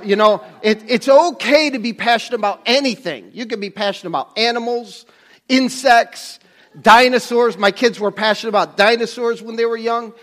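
High-voiced earnest preaching man. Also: fairly bright tone. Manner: earnest, halting, often restarts and trails off. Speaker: pastor. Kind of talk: conversational sermon. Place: church stage under bright lights.

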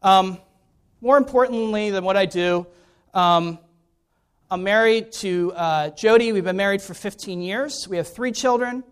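A man's voice: high voice, a little slow, smooth. A middle-aged man with an American accent, speaking informally and with self-deprecation as a guest preacher introducing himself and his sermon to a congregation. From Maryland, United States.

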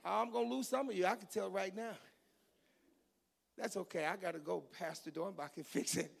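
A man in his fifties, a pastor with a raspy voice, preaching a teaching sermon with goofy, joking asides between scripture reading and prayer. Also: high-pitched, moderate pace. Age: 50s.

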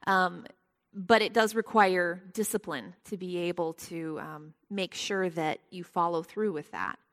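A soft-spoken woman leading a Bible study lesson, with a prayer and a scripture reading, speaking gently and with frequent ums. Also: fairly low pitch, a little slow. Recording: long hall reverb.

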